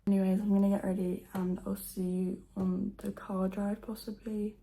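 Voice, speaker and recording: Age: teens. Quality: croaky, gravelly, mellow. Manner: soft and nervous. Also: female voice, mid-range voice, monotone, normal speed, poor audio. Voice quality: croaky, just woken. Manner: soft, half-whispered, nervous chatter. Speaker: vlogger. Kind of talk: casual to-camera vlog. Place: at home indoors.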